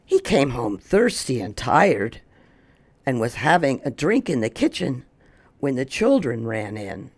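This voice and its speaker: A storyteller reading a children's picture book aloud in an expressive way, drawing out words for emphasis. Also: mid-range voice. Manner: expressive, slow, drawn-out emphasis. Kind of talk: animated children's read-aloud.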